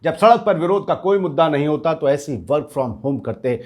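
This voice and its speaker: Guy acting a cynical hired troublemaker, slightly high male voice, brash n boastful, slangy, kinda threatening, satire sketch. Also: expressive, moderate pace, darkish tone, lightly acted. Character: cocky, cynical street agitator paid to stir religious hatred. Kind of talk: scripted satirical dialogue in street Hindi.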